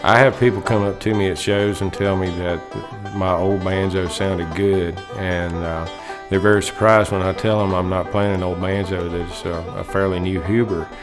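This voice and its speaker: A man's voice: deep voice, fairly even intonation, normal speed, big-room sound, music in the background. A man in his thirties, a banjo player speaking earnestly in an interview with a few fillers. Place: studio with dark backdrop.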